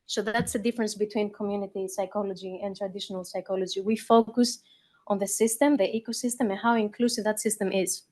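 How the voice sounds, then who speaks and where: A woman's voice: mid-range voice, steady tone, fast talker, a little mellow, no echo. A woman from Greece with a Greek accent, giving a conversational conference talk at a mic, with fillers and restarts. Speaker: conference speaker. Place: conference hall. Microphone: handheld or lectern mic.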